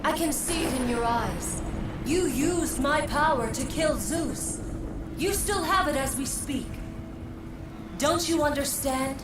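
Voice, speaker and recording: A woman's voice: high-pitched, very noisy. A woman playing an imperious goddess in a game cutscene, solemn and commanding, with an echoing voice. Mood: insistent. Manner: solemn, commanding, grandly deliberate. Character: imperious, insistent goddess woman of stately authority. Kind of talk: dramatic video game cutscene acting. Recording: echoey, distant voice under loud music.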